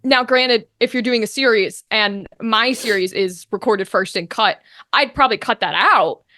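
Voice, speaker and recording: A woman's voice: on the high side, normal speed, pushed and bright, no room sound, studio quality. A woman speaking casually as an interview guest, talking in an emphatic, informal way as a voice actor and game master.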